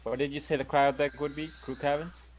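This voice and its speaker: A man's voice: on the high side, steady tone, fairly quick, slight background noise. A man playing in a tabletop role-playing game, chatting casually, joking and swearing. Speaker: player.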